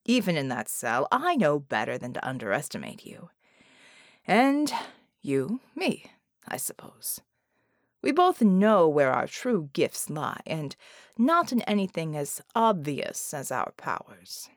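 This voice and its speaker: A woman's voice: low-pitched, big pitch swings, takes it slowly, no room sound, clean studio sound, voice pushed down, full character voice. A woman voicing a sly male shapeshifting impostor in an audiobook, suave, familiar and casually teasing. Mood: measured, wary.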